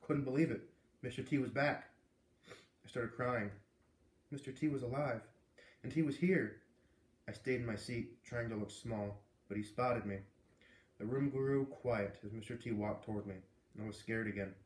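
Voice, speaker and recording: A man narrating a children's book aloud in an expressive storytelling style. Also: mid-range voice, normal speed, pauses often, roomy sound.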